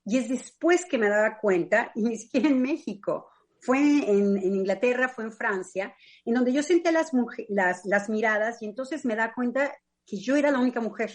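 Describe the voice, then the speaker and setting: A Mexican woman with a Mexican accent, a psychoanalyst talking on a radio panel in a thoughtful, personal way with frequent pauses to search for words. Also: on the high side. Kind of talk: reflective radio discussion.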